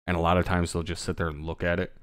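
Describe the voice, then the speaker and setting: A man's voice: deep voice, little pitch movement, very fast, a bit bright, clean tone, no echo, studio quality. A middle-aged man hosting a podcast, chatting casually with profane, joking banter. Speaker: podcast host. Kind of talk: casual chat.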